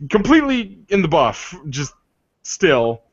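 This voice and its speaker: A man narrating an improvised tabletop roleplay, halting as he searches for words. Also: high voice, sing-song. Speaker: roleplayer narrating his character. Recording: dull, lo-fi, roomy sound.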